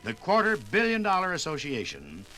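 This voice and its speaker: Male American 1950s radio host, fairly high and very animated, folksy sponsor-spot delivery. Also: normal speed, soft background music, low-quality recording. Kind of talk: 1950s radio announcing, conversational sponsor pitch.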